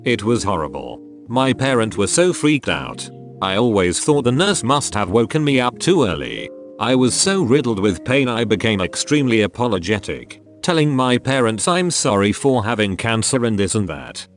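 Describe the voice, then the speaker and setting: A man's voice: on the low side, very animated, moderate pace. A man reading out forum stories as narration over background music. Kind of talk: read-aloud narration.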